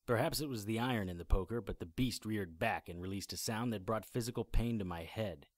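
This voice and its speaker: An actor playing a grim hero who narrates his battles in measured first-person radio drama storytelling. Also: on the low side, a little hiss or hum, lightly acted. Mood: grim, tense.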